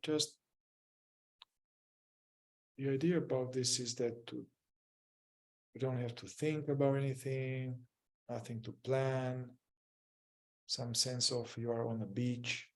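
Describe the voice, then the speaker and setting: A man leading a guided mindfulness meditation as a teacher, gently and without hurry, leaving long pauses between short phrases. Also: mid-range voice, level tone.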